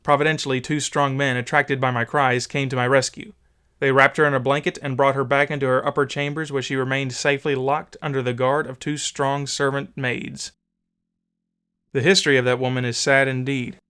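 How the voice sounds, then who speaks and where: A man narrating an old memoir as a dramatic audiobook, solemn, measured and theatrical. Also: medium pitch, level tone, sharp tone, clean tone.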